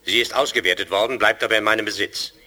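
Earnest alien resistance officer, low, very forceful edge, formal phrasing, radio play. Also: male voice, fast, faint music behind. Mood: grave, earnest. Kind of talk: 1980s German audio drama acting.

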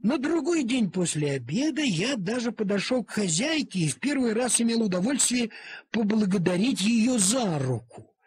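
Expressive, high-pitched man telling a story slowly. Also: breathy edge, dry recording. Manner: theatrical, deliberate, with dramatic pauses. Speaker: storyteller, audiobook reader. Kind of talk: expressive literary narration of an animal story. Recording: cassette transfer of audio play.

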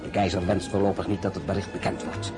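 An actor playing a shrewd, suave diplomat at an imperial court in a radio play, measured and insinuating. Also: male voice, sits low, level tone, over music, natural voice. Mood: grave, discreet.

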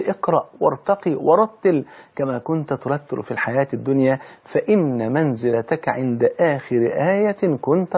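Male preacher, Egyptian accent, husky mid-pitched voice, quick pace, emphatic religious storytelling. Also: darkish tone. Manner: emphatic, rhetorical questions and repetition for the listeners. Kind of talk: religious storytelling sermon mixing colloquial talk with formal recitation of scripture.

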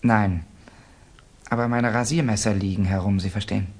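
An actor playing an anguished, guarded young student in a German radio play, speaking in a tense, halting, self-justifying way. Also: male voice, fairly low pitch, fairly even intonation, darkish tone. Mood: guarded, nervous. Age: young adult. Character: anguished, guarded young male Russian student turned informer.

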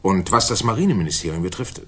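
Casting: self-important, displeased Victorian official, formal speech, husky voice, animated intonation. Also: male voice, low-pitched, fast talker, exaggerated voice. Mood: formal, annoyed. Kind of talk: 1960s radio drama acting.

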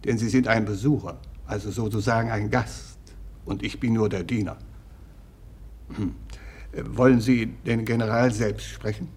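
An actor in a radio play playing a wary, stiff manservant with a formal, officious and slightly hesitant manner. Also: male voice, fairly low pitch, steady tone, normal speed, a little mellow, slightly rough. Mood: suspicious, officious. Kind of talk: 1950s German radio play acting.

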